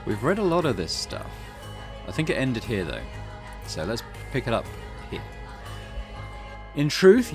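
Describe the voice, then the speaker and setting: Deep-voiced man reading a game aloud. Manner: reads game text aloud, breaking off for casual asides. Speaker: gaming channel host. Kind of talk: reading a visual novel aloud with commentary. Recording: game music playing behind.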